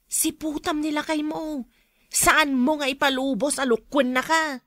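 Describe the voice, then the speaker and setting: A woman's voice: sits high, steady tone, measured pace, a bit bright, no echo, studio quality. A woman acting in an Ilocano radio drama as a gossipy, meddling friend who poses as concerned, with an Ilocano Filipino accent. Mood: prying, insinuating. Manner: prying, insinuating.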